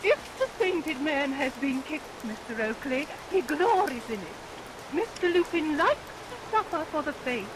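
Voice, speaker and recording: High-voiced, self-pitying pious mother. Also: big pitch swings, a little slow. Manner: fussy, long-suffering, melodramatic. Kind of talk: vintage radio melodrama acting. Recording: vintage radio recording under rain noise.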